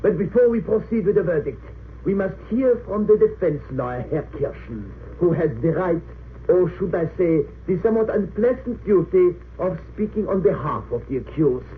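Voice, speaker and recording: A man's voice: high voice, animated, moderate pace. An actor in an old-time radio drama playing a stern, sneering German military judge, imperious and sarcastic as he questions and pronounces. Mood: cold, sardonic. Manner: imperious, sarcastic, clipped interrogation. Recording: tinny narrow-band old radio recording, hissy.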